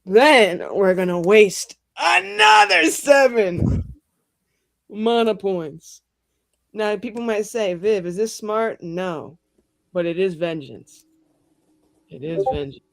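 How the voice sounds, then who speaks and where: A woman's voice: medium pitch, sing-song, slow, creaky, pauses often, no room sound. Dramatic woman improvising tabletop fantasy. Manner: dramatic, profane, colloquial with many "like"s. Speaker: tabletop roleplay player. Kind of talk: casual improvised storytelling at a tabletop game.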